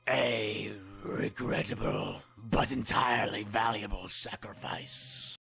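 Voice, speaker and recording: A man playing a sadistic, fanatical inquisitor, declaiming slowly and pompously in a gravelly, forceful voice in a sci-fi audio drama. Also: fairly low pitch, fairly even intonation, faint music behind, heavily acted. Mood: coldly menacing. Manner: slow, theatrical, pompous declamation. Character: grandiose sadistic male inquisitor, fanatical monologuing villain.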